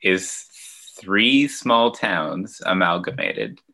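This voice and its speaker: A man from Ontario, a children's musician, answering interview questions in a relaxed, hesitant way with many fillers and restarts. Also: low voice, extremely slow, creaky, low-quality recording. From Ontario, Canada. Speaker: children's musician and outdoor educator.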